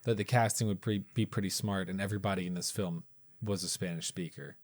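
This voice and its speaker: A man's voice: sits low, fairly even intonation, fast, dry recording. A man co-hosting a casual movie review podcast, curious and teasing in conversation. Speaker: podcast co-host. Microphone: podcast mic.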